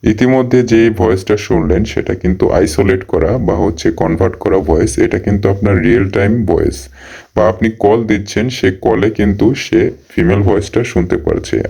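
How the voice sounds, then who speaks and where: Low, gravelly man presenting. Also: a little mellow. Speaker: presenter promoting a phone app. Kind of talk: tutorial-style promotional voice-over.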